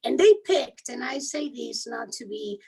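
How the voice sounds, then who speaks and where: A woman from Spain with a strong Spanish accent, a guest attorney answering interview questions quickly and earnestly, often restarting as she searches for English words. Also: high-pitched, gravelly, mellow, breathy edge. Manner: fast, earnest, self-correcting, searches for words. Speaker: guest, human rights attorney. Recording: distant, garbled video call audio.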